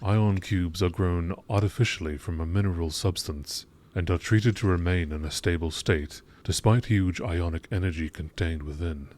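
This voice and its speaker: A man reading scripted science-fiction lore in the measured, informative style of a nature documentary narrator. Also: low-pitched, darkish tone.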